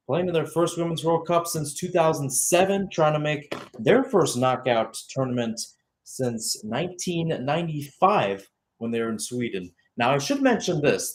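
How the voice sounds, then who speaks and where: A young man giving live radio-style soccer play-by-play in short clipped phrases, with asides and self-corrections. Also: mid-range voice. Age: young adult. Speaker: play-by-play sports commentator.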